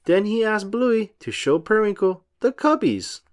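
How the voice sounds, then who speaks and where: A storybook reader narrating a picture book for young children in an expressive, sing-song way. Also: mid-range voice, moderate pace. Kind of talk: animated read-aloud of a children's picture book.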